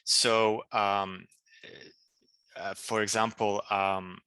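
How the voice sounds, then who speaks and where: Polish-accented man, bright and halting. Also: on the low side, level tone, smooth, dry recording. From Poland. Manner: halting, many restarts and fillers. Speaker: political candidate. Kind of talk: interview answer.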